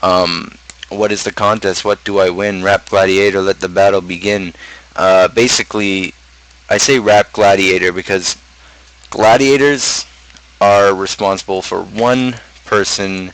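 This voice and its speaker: A man's voice: low-pitched, fairly even intonation, a little slow, fairly bright tone, rough recording. A man streamer talking casually about his own song lyrics, rambling and thinking aloud as he goes. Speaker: streamer host and amateur rapper.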